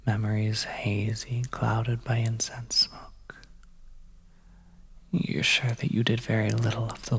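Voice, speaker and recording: Breathy whispering ASMR storyteller, flat and slow. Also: mid-range voice. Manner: soft, hushed, gentle delivery with pauses. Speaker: audio storyteller. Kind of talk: whispered second-person horror ASMR story narration.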